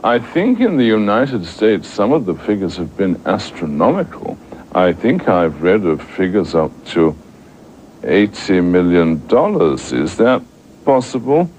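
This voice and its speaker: A man in an interview speaking in an indignant, deliberate way. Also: low voice, sing-song, a little mellow, a little hiss or hum.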